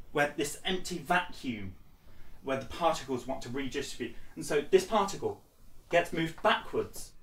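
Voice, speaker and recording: A man's voice: on the high side, a bit bright. A man in his twenties informally explaining a lesson step by step, as an instructor at a blackboard. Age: 20s. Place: small bare indoor room. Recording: echoey room, distant microphone.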